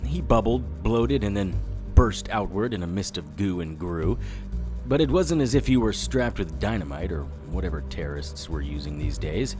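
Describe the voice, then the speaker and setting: Low-voiced male noir investigator, wry and hard-boiled, narrating his own case over music. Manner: wry, deadpan. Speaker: narrator and lead character. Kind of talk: first-person noir narration in audio drama.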